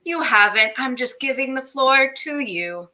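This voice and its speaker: A woman's voice: sits high, expressive, unhurried, sharp tone. A woman hosting an interview conversationally, encouraging and polite with the guests. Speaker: interview host.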